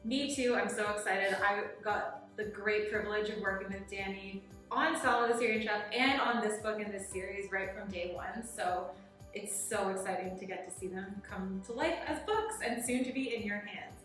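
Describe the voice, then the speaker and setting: Casting note: female interviewer, effusive and casual, average pitch, very echoey room with music. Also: normal speed, a bit bright. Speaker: editor and interviewer.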